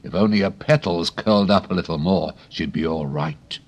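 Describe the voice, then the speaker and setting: A man reading a storybook and voicing a proud, bossy female tiger lily flower in an imperious, slow, commanding manner. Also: low-pitched. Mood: haughty.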